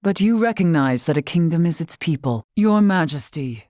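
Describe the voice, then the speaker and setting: An actress playing a composed, wise fantasy woman in a video game, speaking in measured, archaic and eloquent phrases with dry wit. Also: lowish voice, lively intonation, mellow, dry recording, close to an everyday voice. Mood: composed, earnest. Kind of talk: scripted video game character acting.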